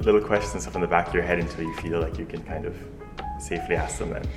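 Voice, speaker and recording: A man's voice: deep voice, very fast, over music. A man from Ireland co-hosting a community radio show, chatting casually with lots of fillers. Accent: Irish. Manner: relaxed, conversational with many fillers. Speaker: radio co-host. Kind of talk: bilingual community radio chat.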